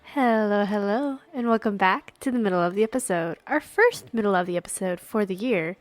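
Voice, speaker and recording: A woman's voice: medium pitch, fairly quick, dry recording, soft background music. A woman hosting a podcast, giving casual announcements to listeners. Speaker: podcast host.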